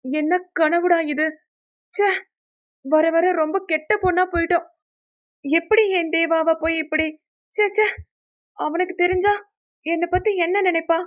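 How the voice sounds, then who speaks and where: A woman's voice: steady tone, unhurried, pauses often. A woman voicing an emotional, feisty college girl in a dramatic, pouting manner for an audio novel. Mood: flustered, embarrassed. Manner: dramatic, pouting, high-strung.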